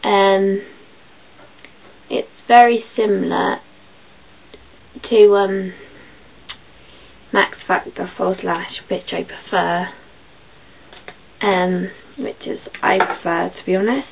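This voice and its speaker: A young woman with a croaky voice, chatting casually through a makeup tutorial in her bedroom, with filler ums as she goes. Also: medium pitch, fairly even intonation, slow, long pauses. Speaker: beauty vlogger. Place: small bedroom. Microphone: built-in webcam mic. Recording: tinny lo-fi webcam audio, faint hiss.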